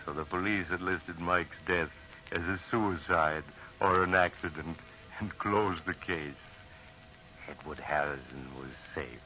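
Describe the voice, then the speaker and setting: A grave, ominous horror storyteller from old-time radio. His extremely low voice is intensely forceful and dramatic. The recording is muffled. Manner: dramatic, deliberate. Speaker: narrator. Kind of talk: 1940s radio horror narration.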